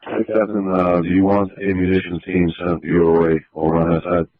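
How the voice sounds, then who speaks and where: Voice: low-pitched, flat delivery, moderate pace. A man, a police officer, talking informally with a colleague over a police radio. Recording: narrow, tinny police radio transmission.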